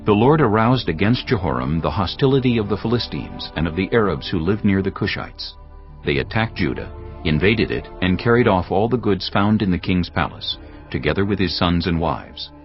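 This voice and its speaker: A man narrating scripture in a measured, solemn, scripted reading for an audio Bible. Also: deep voice, animated. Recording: dull lo-fi audio with faint music.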